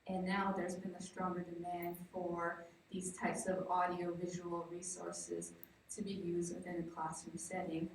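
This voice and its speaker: A woman from the southwestern United States giving an informal, explanatory conference presentation as a project researcher, piecing her thoughts together with pauses. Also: fairly low pitch, monotone. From Navajo Nation, southwestern United States. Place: large echoey indoor presentation room. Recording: very echoey room, distant mic, faint noise.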